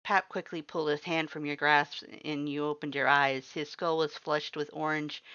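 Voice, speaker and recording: A woman narrating a second-person fanfiction story in a steady, even storytelling voice. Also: low-pitched, clear, resonant tone, no room sound, lo-fi sound. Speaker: fanfiction reader.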